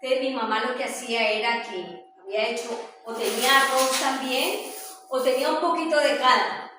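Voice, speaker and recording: A woman with a Colombian accent giving an informal presentation, telling stories in a halting, conversational way. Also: fairly high pitch. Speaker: presenter sharing her hometown memories. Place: echoey indoor room with a small audience. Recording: very echoey room, distant mic, faint noise.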